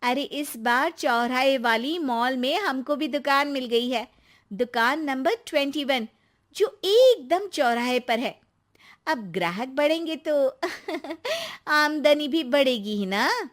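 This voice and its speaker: A woman voicing a chatty, boastful young daughter-in-law in an animated cartoon. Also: high-pitched, slow.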